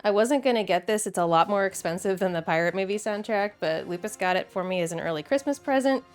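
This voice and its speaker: A woman's voice: mid-range voice, quick pace, faint music behind. A woman hosting a video essay in a casual, conversational and enthusiastic way, with wry asides.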